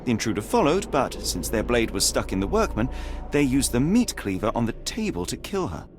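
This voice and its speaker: A man playing a composed, sharp-minded detective in a video game, speaking with precise, measured, analytical authority. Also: mid-range voice, big pitch swings, over music, natural voice. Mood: analytical, intent. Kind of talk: dramatic detective game dialogue and deduction narration.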